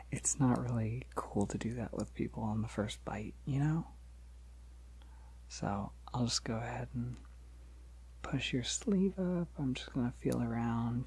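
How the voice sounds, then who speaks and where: A man playing a polite, gentle vampire in an ASMR roleplay, speaking softly and hesitantly, often breathy and near a whisper. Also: medium pitch, full character voice. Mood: soothing, careful. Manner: hesitant, reassuring, softly chuckling. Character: polite, awkward, gentle young male vampire. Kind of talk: ASMR roleplay, soft-spoken and intimate.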